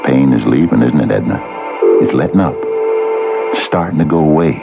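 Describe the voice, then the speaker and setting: A muffled old radio drama recording of a folksy man with a very deep, creaky voice. He coaxes gently and repeats himself, soothing and almost hypnotic. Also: expressive, a little fast, heavily acted. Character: genial, coaxing married man, soothing, quietly hypnotic. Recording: muffled old radio broadcast, music behind.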